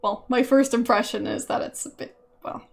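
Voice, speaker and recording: A woman's voice: on the high side, fairly even intonation, moderate pace, creaky. A woman whose first language is German, chatting casually with viewers while she streams, hesitant and full of fillers and small laughs.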